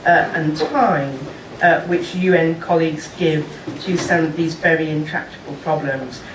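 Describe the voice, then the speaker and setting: A woman diplomat gives measured, halting remarks at a panel, filling her pauses with small hesitations. She sounds some distance from the microphone in a large, echoey conference room. A faint hum sits underneath. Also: sits low. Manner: measured, hesitant, many fillers. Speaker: diplomat at a national mission.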